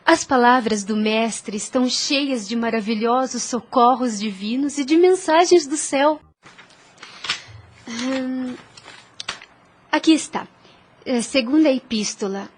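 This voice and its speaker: A woman acts out a gentle young lady of deep faith in a religious audio drama. Her voice sits fairly high, and she explains things with earnest, reverent care. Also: measured pace. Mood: earnest, devout. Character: gentle, devout young Spanish woman, earnest teacher of scripture.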